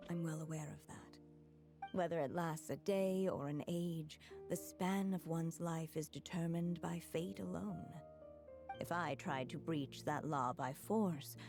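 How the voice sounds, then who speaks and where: A woman voicing a solemn alchemist who speaks in a measured, formal way. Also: fairly low pitch. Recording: game score loud behind.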